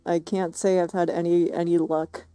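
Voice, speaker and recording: A woman's voice: lowish voice, flat delivery, moderate pace, mellow, smooth, no echo. A woman streaming a game, reacting casually with dry, skeptical, teasing comments.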